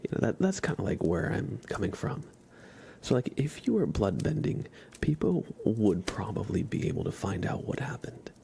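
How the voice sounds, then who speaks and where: A man chatting casually and softly as an ASMR livestream host, often slipping into a whisper. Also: on the low side, big pitch swings, normal speed, creaky, slight background noise. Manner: soft-spoken, often dropping into a whisper. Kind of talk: ASMR, casual rambling chat with live viewers.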